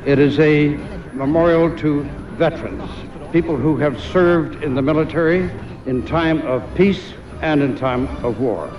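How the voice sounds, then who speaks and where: An older man, a retired general, giving a formal ceremonial address with measured, deliberate pauses. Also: a little high, muffled recording.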